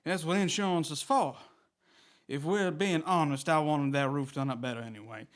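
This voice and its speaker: A man's voice: a little high, normal speed, close to an everyday voice. A man with a Southern US accent playing an easygoing, folksy club owner in scripted comedy. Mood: reassuring.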